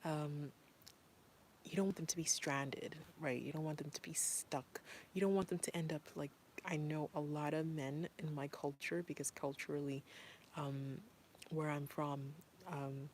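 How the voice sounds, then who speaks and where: A woman's voice: low voice, fairly even intonation, measured pace, a little mellow, slight background noise. A woman from Zimbabwe, a parent, talking casually and earnestly with many fillers and restarts. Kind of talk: casual talk to camera.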